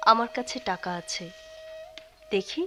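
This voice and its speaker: A devoted young elder daughter in a radio drama, with faint music underneath. Her voice is high and piercingly bright. She sounds earnest, emotional and resolute. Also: a little slow. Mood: quietly resolute. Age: young adult.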